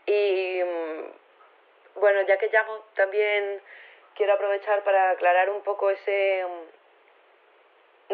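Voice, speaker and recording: An actress playing an earnest, slightly flustered university activist with a Spain accent, leaving an informal voicemail in an audio drama. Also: female voice, medium pitch. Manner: hesitant, informal, self-conscious, pleading. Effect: phone filter. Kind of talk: casual voicemail in audio fiction. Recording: narrow tinny phone line.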